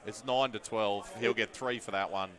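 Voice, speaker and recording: Man, low-pitched co-commentator, laid-back, agreeable. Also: fairly even intonation, normal speed, fairly bright tone. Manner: laid-back, agreeable, frequent short replies. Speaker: tabletop tournament co-commentator. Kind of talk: casual live commentary.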